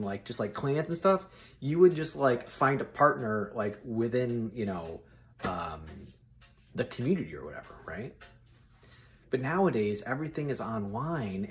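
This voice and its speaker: A man in his thirties chatting casually and bluntly to his viewers, with informal, buddy-like phrasing. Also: medium pitch. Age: 30s. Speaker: solo video host giving personal opinions.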